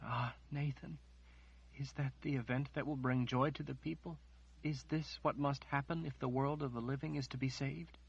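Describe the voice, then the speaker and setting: A man's voice: medium pitch, fairly even intonation, normal speed, vocal fry, slightly rough, pitched above the performer's own voice. A man putting on a grieving young woman. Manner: pleading, tearful. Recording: dull lo-fi recording.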